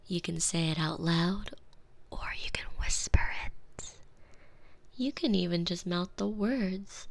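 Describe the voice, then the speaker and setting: A woman whispering slow, commanding hypnosis ASMR with a soft, breathy voice. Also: deep voice.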